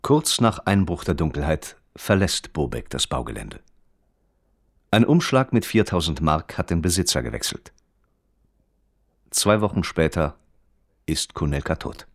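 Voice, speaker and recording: An actor as the sober, detached narrator of a German radio crime play, reading like an official report in standard German. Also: male voice, a bass voice, big pitch swings, a little slow, long pauses, dry recording, studio quality, full character voice.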